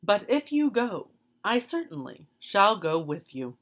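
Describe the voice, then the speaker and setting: A kind, gentle tin woodman from a storybook, played by a woman narrator. His voice is soft-hearted and loyal, only a little higher than her own. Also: sing-song, unhurried.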